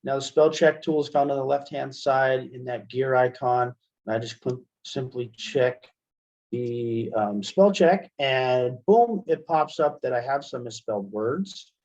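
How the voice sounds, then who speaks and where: A man's voice: medium pitch, a little slow, darkish tone. Dull-sounding webinar recording, man instructor demonstrating. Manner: conversational, thinking aloud as he demonstrates. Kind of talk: casual software demonstration. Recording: dull, band-limited webinar audio.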